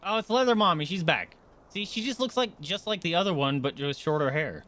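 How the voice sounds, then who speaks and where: A man's voice: fairly high pitch, lively intonation, brisk, a bit bright. A man giving casual, playful, reactive commentary as he streams a video game.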